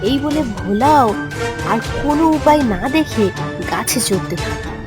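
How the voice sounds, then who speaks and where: Slow, high-pitched woman storyteller. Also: animated, loud music behind the voice. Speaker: narrator. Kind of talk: scripted fairy tale narration.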